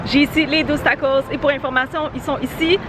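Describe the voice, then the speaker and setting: A woman's voice: sits high, steady tone, a little fast, a loud crowd, very noisy. A young woman with a Québécois French accent, chatting upbeat and directly to viewers in a casual travel vlog. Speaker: travel vlogger.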